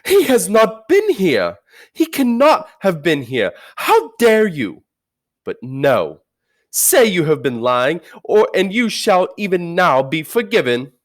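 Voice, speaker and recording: A man voicing a cruel, imperious witch queen who shouts commands in a shrill raised voice. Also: huge pitch swings, slow pace, hardly any pauses, full character voice. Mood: furious.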